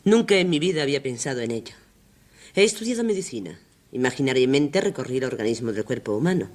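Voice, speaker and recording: An actress in a radio drama playing an earnest, awed doctor with an expressive delivery. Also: female voice, rumbling low, fast talker. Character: earnest female doctor, awed and concerned expedition surgeon.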